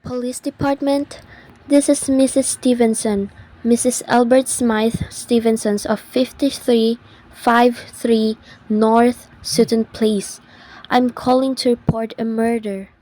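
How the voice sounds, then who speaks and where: Woman acting a high-voiced, imperious, fretful society wife. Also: fairly even intonation, slow, warm tone, poor audio. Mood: agitated, imperious. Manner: haughty, insistent, fretful. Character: imperious, agitated wealthy married woman, demanding and indignant. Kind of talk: old radio thriller acting.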